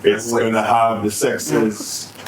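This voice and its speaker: A man's voice: lowish voice, monotone, takes it slowly, distant mic. A man hosting a podcast who asks teasing, probing questions in casual chat. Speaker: podcast host. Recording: roomy, off-mic, faint noise.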